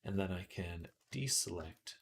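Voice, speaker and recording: A man with a fairly low voice hosts a casual livestream from his desk. His intonation swings widely as he moves between muttering through his steps and speaking to viewers. The delivery is informal and unscripted. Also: lively intonation, a little slow, creaky, a little hiss or hum. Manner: mutters to himself, then addresses viewers brightly. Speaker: livestream host, 3D artist. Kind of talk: casual livestream tutorial chat while working. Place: indoors at a computer desk.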